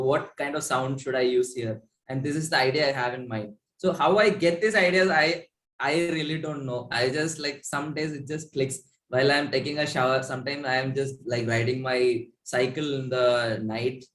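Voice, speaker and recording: A man's voice: mid-range voice, a bit bright, reverberant, poor audio. A young man with an Indian accent chatting casually as an interviewed musician, in a rambling way peppered with "like". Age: young adult. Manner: rambling, frequent "like".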